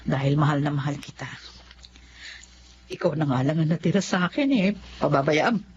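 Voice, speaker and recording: A woman's voice: low-pitched, normal speed, a little mellow, slight background noise, close to an everyday voice. A woman with a Filipino accent playing a doting, worried middle-aged mother in a radio drama. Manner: tender, fretful. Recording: dull radio drama audio, music.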